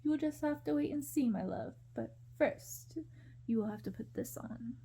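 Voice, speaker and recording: Scheming young prince for a storybook, read by a woman: soft-spoken, coaxing lover, very dark mellow tone. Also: on the high side, moderate pace, gravelly, slight background noise. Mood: teasing, tender.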